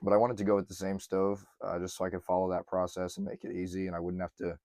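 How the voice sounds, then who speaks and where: A man, slightly hoarse, chatting casually through a van stove install, with lots of ums and throat clearing. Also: sits low, completely flat delivery, fast, a little mellow, no echo, clean studio sound. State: slightly hoarse, losing his voice. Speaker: van life vlogger. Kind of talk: casual how-to narration to camera.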